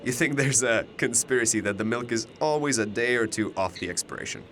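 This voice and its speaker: Glib, bright-voiced young man, acted, all-knowing. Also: medium pitch, fairly quick, slight background noise, natural voice. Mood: smug. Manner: glib, teasing, rambling. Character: cocky, chatty, all-knowing alternate-universe male double of a student. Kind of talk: audio drama dialogue.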